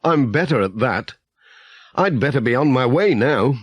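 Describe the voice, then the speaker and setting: Bloke doing a gentle homely postman for a kids story, slow talking, lilting, bit bemused. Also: medium pitch, animated, slightly rough, no room sound, clean studio sound. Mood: modest, friendly. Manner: gentle, homely, mildly flustered. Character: kindly, gentle village postman, patient and bemused.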